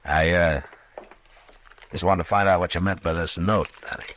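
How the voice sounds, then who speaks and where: Very deep-voiced, watchful frontier marshal. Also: male voice, steady tone, normal speed, fairly bright tone. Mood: probing. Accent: American. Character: watchful, lonely frontier US marshal, steady questioner. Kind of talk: 1950s radio western acting.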